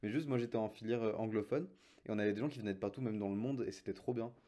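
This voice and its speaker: A young man in casual conversation, relaxed and slangy, with some fillers. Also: lowish voice, rapid-fire.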